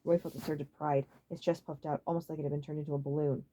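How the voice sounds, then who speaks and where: A woman reading a story aloud as an audiobook narrator, in an expressive, dramatic style. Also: deep voice, quick pace.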